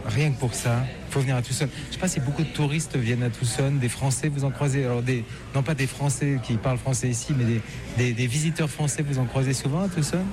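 A man's voice: mid-range voice, steady tone, fast, a crowd in the background. A man hosting and interviewing on a travel radio show in a conversational presenting style. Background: music.

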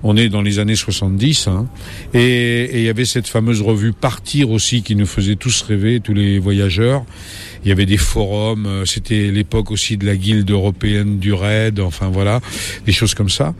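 A man in his late sixties, a travel reporter, answering interview questions in a relaxed way, pausing often with fillers and restarting his sentences. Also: on the low side, slight background noise. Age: 60s. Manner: hesitant, many fillers and restarts.

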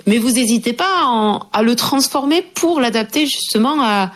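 A woman's voice: a little high, animated, normal speed. Thoughtful woman interviewer. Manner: thoughtful, searching for words. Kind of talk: radio interview.

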